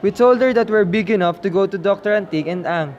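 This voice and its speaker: A chatty, curious young twin acted by a man with a high voice, slightly boastful. It is amateur puppet-show acting with faint noise on the recording. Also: fairly quick, dull audio, lightly acted. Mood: confident, curious.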